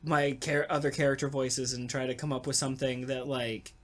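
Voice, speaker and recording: A man, a voice actor, chatting casually and jokingly in a halting, rambling way. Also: mid-range voice, flat delivery. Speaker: voice actor on a podcast talkback. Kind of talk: casual chat.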